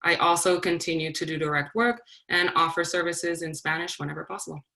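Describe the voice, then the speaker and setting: A woman from Massachusetts introduces herself on a video call. Her voice is low yet bright and forward, and her delivery is composed and informative. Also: fairly even intonation, fairly quick, vocal fry, slight background noise. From Massachusetts, USA. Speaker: community-based director. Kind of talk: panel self-introduction.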